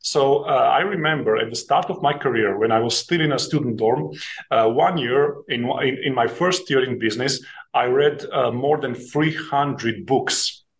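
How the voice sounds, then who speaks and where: A middle-aged man with a Slovenian accent, answering questions as a podcast guest. He speaks at an ordinary pitch, pausing often on "uh" and restarting his sentences. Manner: hesitant, many "uh" fillers, small laughs. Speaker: guest, author and investing teacher. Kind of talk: podcast interview.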